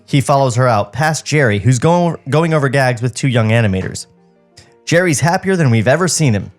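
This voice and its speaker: A man's voice: medium pitch, animated, a little fast, faint music behind. A man co-hosting a podcast, reading a script aloud with irreverent, joking banter. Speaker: podcast co-host.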